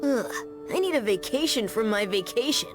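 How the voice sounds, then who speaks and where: A woman performs a young boy cartoon hero with a high voice. He is sarcastic and sure of himself, tossing out wisecracks over background music. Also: animated, moderate pace, close to an everyday voice. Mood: cocky. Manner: wisecracking, sardonic. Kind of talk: cartoon video game acting.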